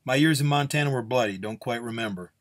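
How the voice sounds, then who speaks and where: A man voicing an older male gunslinger in a Western story, gruff, wry and haggling in folksy, drawling speech. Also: mid-range voice, fast talker, lightly acted. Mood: gruff, teasing.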